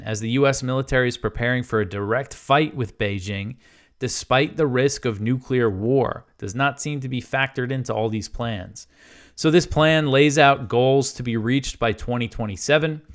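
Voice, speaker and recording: Clear-voiced man hosting news commentary. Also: medium pitch. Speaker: news show host. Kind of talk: news commentary, reading stories and quotes with casual asides.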